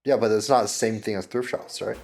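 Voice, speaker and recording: A man's voice: lowish voice, fairly even intonation, a little fast, vocal fry, darkish tone. A young man co-hosting a casual chat podcast, who keeps pushing back in the argument. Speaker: podcast co-host.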